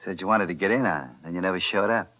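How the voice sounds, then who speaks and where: An American actor playing a wary, smooth small-time gangster in a 1940s radio thriller, with clipped, dryly pointed lines. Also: male voice, sits low, racing. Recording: muffled old 1940s radio recording.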